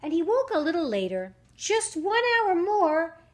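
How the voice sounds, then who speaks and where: A woman reading a rhyming picture book aloud to young children, with dramatic emphasis, drawn-out words and questions to her listeners. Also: very high voice, big pitch swings, a little hiss or hum. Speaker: children's story reader.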